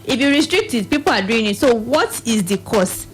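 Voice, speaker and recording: A woman's voice: fairly high pitch, big pitch swings, fairly quick, soft background music, low-quality recording. A woman with a Nigerian accent explaining as a guest in a radio interview, somewhat hesitant. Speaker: reproductive health advocate, studio guest.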